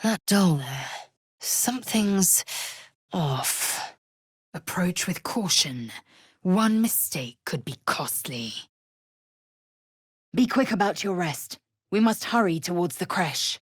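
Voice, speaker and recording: A woman's voice: on the low side, expressive, slow, long pauses, husky, no room sound, clean studio sound, heavily acted. A woman playing a fierce, imperious young Githyanki alien warrior in a video game, with a clipped, haughty, commanding way of speaking and formal phrasing. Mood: wary, observant, then stern, commanding. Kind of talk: video game character voice lines.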